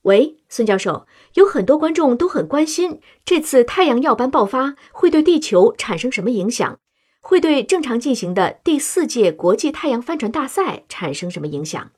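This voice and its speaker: A woman's voice: on the high side, very animated, moderate pace, a little mellow. A woman playing an earnest TV news anchor of about thirty in a radio drama, with a formal announcer's delivery. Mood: urgent, serious. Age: 30s.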